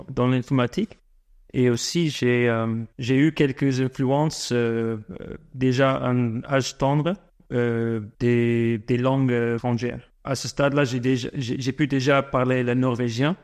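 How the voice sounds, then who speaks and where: A man in his forties who grew up in South Africa and speaks French as a second language, answering interview questions slowly with many fillers and restarts. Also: medium pitch, steady tone, a little mellow, clear, resonant tone. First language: not French. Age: 40s. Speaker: guest entrepreneur.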